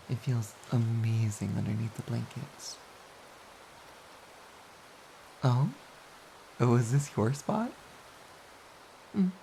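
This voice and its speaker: Low-voiced, mellow, teasing romantic partner played by a woman. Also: gravelly, heavily acted, low-quality recording. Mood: cosy, smug. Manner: teasing, giggly, mock-pouty. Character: playful, teasing, affectionate romantic partner snuggling in bed. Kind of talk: intimate audio roleplay, soft casual pillow talk. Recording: faint background hiss.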